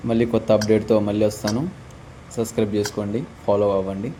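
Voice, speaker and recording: Young guy doing a casual game tutorial, lowish soft dark voice, faint noise behind. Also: little pitch movement, fast talker. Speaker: gaming tutorial host.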